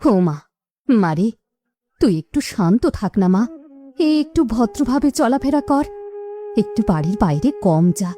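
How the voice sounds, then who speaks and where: A woman's voice: fairly high pitch, sing-song, a little slow, long pauses. A woman playing a weary, plaintive widowed village mother in a cartoon. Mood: pleading. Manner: plaintive, careworn. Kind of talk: cartoon voice acting.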